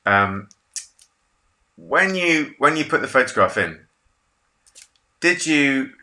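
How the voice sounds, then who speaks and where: A man's voice: medium pitch, sing-song, takes it slowly, sharp tone. A man in his thirties hosting a casual video-call interview from a home office, chatty, jokey and self-deprecating. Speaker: interview host.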